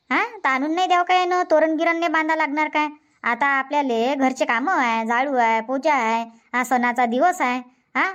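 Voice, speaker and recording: A woman playing a high-pitched, nagging village housewife. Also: a bit bright. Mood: scolding. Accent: Varhadi Marathi (Vidarbha). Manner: scolding, repetitive complaining. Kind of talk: Marathi comedy cartoon dubbing.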